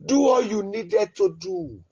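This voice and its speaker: A man leading an impassioned, pleading prayer, swinging between loud cries and low murmurs. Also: animated, slow pace, husky, dull audio. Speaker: prayer leader. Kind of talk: fervent spoken prayer.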